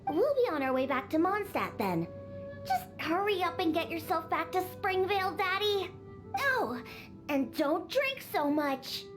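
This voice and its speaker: A woman's voice: very high voice, very animated, measured pace, music in the background, exaggerated voice. A woman voicing a bossy, proud young cat-girl bartender in a video game, sassy and scolding. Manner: sassy, boastful, matter-of-fact scolding.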